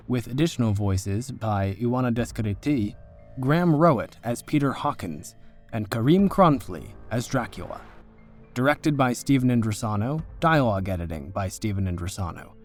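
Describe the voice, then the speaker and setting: A man acting as announcer, reading scripted end credits. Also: medium pitch.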